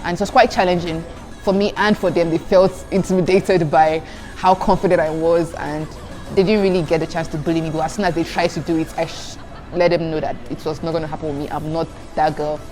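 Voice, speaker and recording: Chatty young woman, lowish voice. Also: fairly even intonation, a little fast, darkish tone. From Nigeria. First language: Igbo. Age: young adult. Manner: chatty, addresses viewers as guys, giggles between answers. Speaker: student vlogger answering questions. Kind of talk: casual chat to viewers. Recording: background music under voice.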